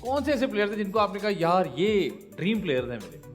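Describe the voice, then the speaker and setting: A man hosting a comedy talk show with a Pakistani Urdu accent, firing quick either-or questions and teasing his guest with laughs. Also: high voice, very animated, faint music behind. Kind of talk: playful rapid-fire quiz questions.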